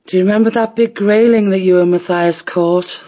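A woman's voice: medium pitch, steady tone, moderate pace, dark tone, close to an everyday voice. Woman voicing a homely, sturdy badger. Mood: fond. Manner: homely, practical. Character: sturdy, warm-hearted, practical female badger. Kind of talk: dramatised storybook reading. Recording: slightly dull lo-fi audio.

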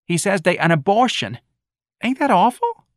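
A timid, fretful older mother, as a male narrator voices her, pitched high above his own voice in a plaintive whine. She gossips and frets in broken grammar. The read is close and dry. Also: sing-song, moderate pace, dry recording. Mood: gossiping.

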